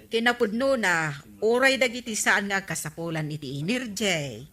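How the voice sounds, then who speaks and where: A woman playing a village councilwoman who keeps a small store, brisk and matter-of-fact, in a radio comedy drama. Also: medium pitch, animated, sharp tone. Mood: chatty. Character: busy village councilwoman tending her small snack store.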